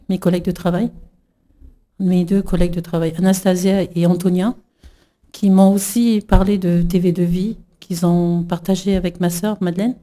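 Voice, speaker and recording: A middle-aged woman from New Caledonia giving an emotional religious testimony, halting with many fillers and restarts. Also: on the low side, warm tone. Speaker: church member giving testimony.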